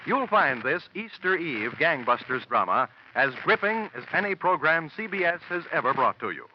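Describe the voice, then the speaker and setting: A man's voice: a little high, very animated, normal speed. A man with an American accent reading a scripted promo as a 1940s radio network announcer. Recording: muffled vintage radio with hiss.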